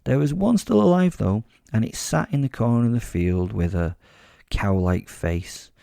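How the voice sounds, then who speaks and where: A man's voice: medium pitch, lively intonation, normal speed, vocal fry, warm tone, dry recording, studio quality. A man narrating a comic horror story in the first person as a sardonic werewolf, in a wry, sweary, conversational way. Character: sardonic, sadistic male werewolf telling his story. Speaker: podcast host and storyteller.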